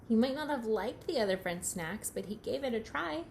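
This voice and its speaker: A woman teacher reading a picture book aloud to young children, expressive and questioning. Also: a little high, gravelly, slight background noise. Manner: expressive, pauses to question the listener.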